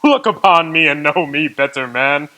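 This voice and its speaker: A hearty, laughing giant spirit, played by a man in a radio play. His voice swings widely and rings bright and forceful. He speaks grandly, full of good cheer. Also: a little high, animated, normal speed. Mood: jovial. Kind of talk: radio drama acting.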